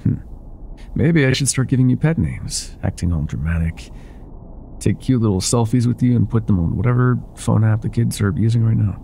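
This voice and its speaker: A man playing an older ex-mafia boyfriend, wry, tender and self-doubting, with a husky, breathy voice in an intimate roleplay audio. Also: on the low side, expressive, normal speed, dark tone, a little hiss or hum. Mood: wry, affectionate.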